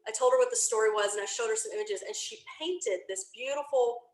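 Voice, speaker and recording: A woman's voice: on the high side, fast talker. A woman guesting on a podcast, chatting casually and often giggling as she talks. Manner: giggly, laughs while talking. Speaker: podcast guest, audio drama creator. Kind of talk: casual animated chat. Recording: roomy, echoing sound.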